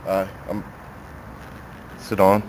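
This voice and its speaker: A man giving a heartfelt, sincere funeral eulogy as a grieving son, speaking haltingly. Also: low-pitched, completely flat delivery. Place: funeral chapel. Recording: noisy live room recording.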